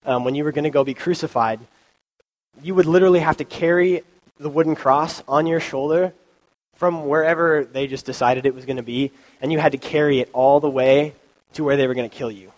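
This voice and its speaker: A man's voice: a little high, steady tone, fairly quick, low-quality recording. A young man with a cold preaching a casual youth sermon into a microphone, loose and chatty with many ums and likes. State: has a cold, sniffly. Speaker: youth pastor. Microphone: handheld or stand mic.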